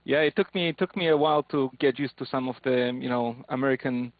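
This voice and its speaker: A man in his forties from Poland with a Polish accent shaded by English, chatting on a video call and pausing often on fillers. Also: medium pitch, no echo. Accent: Polish, with some English. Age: 40s. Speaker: new club member. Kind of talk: casual video call chat. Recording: tinny call audio.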